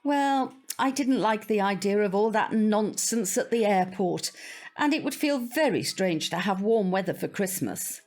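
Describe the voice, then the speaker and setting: A woman's voice: medium pitch, lively intonation, unhurried, darkish tone, faint music behind, natural voice. A woman playing a prim, old-fashioned older British grandmother, opinionated and wistful, in an audio drama. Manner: prim, proper, hesitant around awkward subjects.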